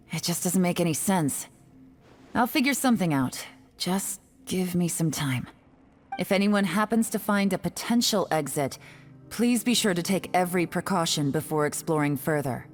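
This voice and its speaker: A woman playing a cool, capable leader in a video game, speaking calmly and seriously in measured phrases. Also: fairly low pitch, faint music behind, natural voice. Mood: grave, composed. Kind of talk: video game character acting.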